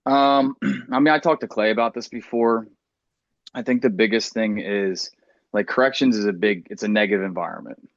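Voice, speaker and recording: A man's voice: on the low side, normal speed, vocal fry, no room sound, lo-fi sound. A man in his thirties with an American accent, talking earnestly and plainly in a casual roundtable. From New Jersey, United States. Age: 30s. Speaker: podcast panelist.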